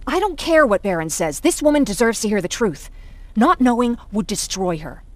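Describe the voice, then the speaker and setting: A woman's voice: on the high side, big pitch swings, normal speed, no echo. An actress playing a compassionate, outspoken woman of the resistance in a video game. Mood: urgent, compassionate.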